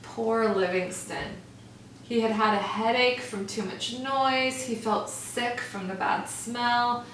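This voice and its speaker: A woman teacher reading a picture book aloud to children in a gentle, expressive storytelling manner. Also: on the high side, a little hiss or hum. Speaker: first grade teacher. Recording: echoey room, far from mic.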